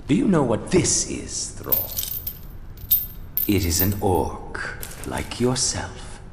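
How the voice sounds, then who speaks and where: A man playing a haughty, cruel human lord and slave master in an animated fantasy game, pompous, condescending and menacing in a theatrical way. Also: lowish voice, takes it slowly, creaky, a little hiss or hum, heavily acted. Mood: condescending, smug. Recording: echoey hall reverb under music.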